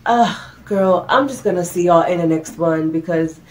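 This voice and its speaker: A woman's voice: lowish voice, normal speed, low-quality recording. A young woman chatting candidly to camera in her bedroom as a vlogger, sick and stuffy, losing her voice, restarting her sentences often. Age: young adult. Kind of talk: casual chat to camera. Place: bedroom, indoors. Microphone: camera in front, medium framing.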